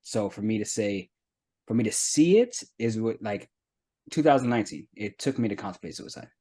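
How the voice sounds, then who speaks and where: An American man in his thirties, a guest telling his own story in an earnest, reflective way, often pausing with fillers. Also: lowish voice, expressive, dark tone. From United States. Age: 30s. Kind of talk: candid personal testimony.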